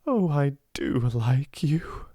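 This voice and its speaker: A British man voicing a kind, imaginative young girl in a storybook, soft, coaxing and tender with animals. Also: mid-range voice, very animated, slow, no room sound, clean studio sound, voice pushed up. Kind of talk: storybook character voice.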